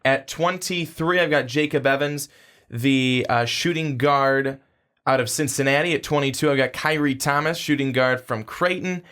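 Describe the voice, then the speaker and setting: A man co-hosting a sports podcast, listing draft picks in a measured way with frequent fillers. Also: mid-range voice, a bit bright. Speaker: podcast co-host.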